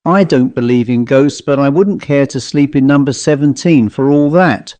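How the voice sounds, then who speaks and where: A man's voice: mid-range voice, normal speed, mellow, no echo, close to an everyday voice. A man voicing a curt, superstitious veteran salesman in spectacles who speaks ominously in an audiobook ghost story. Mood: curt, wary.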